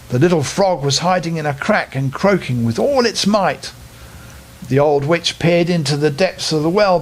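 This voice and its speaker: An older man tells a bedtime story with a very animated delivery. His voice sits fairly high for a man and moves expressively through the narration.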